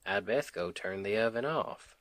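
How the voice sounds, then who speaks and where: A man's voice: fairly low pitch, animated, moderate pace, fairly bright tone, slight background noise. A man playing a sweet, devoted Southern husband in an intimate ASMR roleplay, tender and affectionate, with a Southern US accent. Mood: playful, puzzled.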